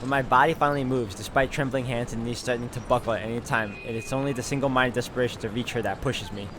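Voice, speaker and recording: A man's voice: medium pitch, fairly even intonation, quick pace, background noise. A man hosting a game walkthrough, reading visual novel narration aloud and adding his own asides. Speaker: video game walkthrough host.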